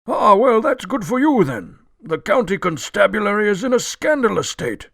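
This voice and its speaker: A litigious old gentleman in a radio play. The voice is high and gravelly, swooping widely as he declaims. He is pompous, crotchety and forever indignant. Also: very animated, normal speed, no room sound, studio quality, exaggerated voice. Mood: suspicious, indignant. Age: older. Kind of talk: radio drama acting.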